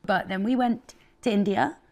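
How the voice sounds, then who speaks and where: A woman in her thirties from Afghanistan, a guest chef telling her life story in a candid, expressive way. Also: mid-range voice, normal speed. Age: 30s. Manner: candid and expressive, laughs often.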